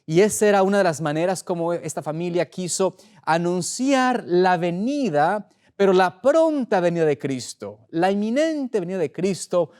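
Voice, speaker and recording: A middle-aged man preaching as a pastor in an earnest, deliberate way, pausing for emphasis. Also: high voice, darkish tone, clean tone. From Ecuador. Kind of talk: sermon, opening with prayer.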